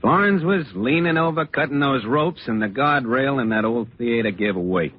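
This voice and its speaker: A man's voice: medium pitch, lively intonation, moderate pace, close to an everyday voice. An actor playing a chatty, slyly breezy necktie salesman telling his story in folksy 1940s radio style. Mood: matter-of-fact, wry. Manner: folksy, conversational, glib patter. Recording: muffled old radio recording, faint music.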